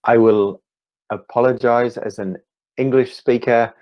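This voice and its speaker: A man in his fifties with a New Zealand accent, giving an online talk as a meditation teacher, speaking slowly and deliberately with gentle pauses. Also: mid-range voice, steady tone, highs cut off. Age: 50s. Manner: slow, deliberate, gently pausing. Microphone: video call.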